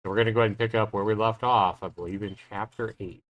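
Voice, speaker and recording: Man, slightly deep voice, livestream host, informal, chatty recap. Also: steady tone, quick pace, no room sound, muffled recording. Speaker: livestream host playing a visual novel. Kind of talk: casual livestream recap, then reading game text aloud.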